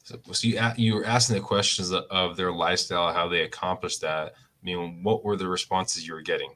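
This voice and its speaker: A man's voice: low voice, fairly even intonation, fairly quick, bright, forceful tone. A man hosting a business podcast, asking loose, rambling questions in a friendly, casual way. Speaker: podcast host.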